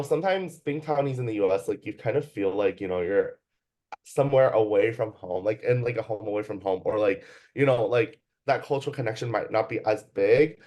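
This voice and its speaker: A man's voice: on the low side, darkish tone. Hesitant young man from California. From Bay Area, California, USA. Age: young adult. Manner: hesitant, many fillers and restarts. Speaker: student interviewee. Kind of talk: casual interview answers.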